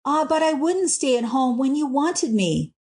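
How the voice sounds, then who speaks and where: A woman's voice: high-pitched, lively intonation, unhurried, a little mellow, voice pushed up. A woman voicing a tearful, penitent young wife in a storybook, pleading with her husband. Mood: anxious.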